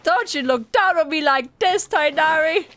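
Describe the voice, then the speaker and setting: Casting: female gaming commentator, giggly and informal, animated delivery, faint noise behind the voice. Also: high voice, normal speed. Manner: giggly, playful. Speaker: gaming streamer. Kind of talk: casual gameplay commentary, reacting aloud.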